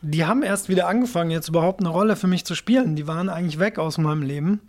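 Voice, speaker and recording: Male musician guest, late 30s, grew up in Japan, chatty and rambling, slightly higher voice. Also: racing. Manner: rambling, self-correcting, chatty. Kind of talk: casual interview talk.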